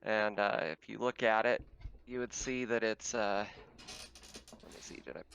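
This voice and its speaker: A man's voice: lowish voice, fairly even intonation, moderate pace, creaky, a bit bright, slight background noise. A man running a tabletop roleplaying game, narrating casually as game master.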